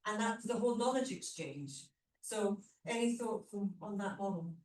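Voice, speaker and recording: A woman's voice: mid-range voice, normal speed, darkish tone, slight background noise. A woman hosting a live panel session, chatty and drawing in the audience. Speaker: host. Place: community cinema hall. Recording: roomy hall, mic at a distance.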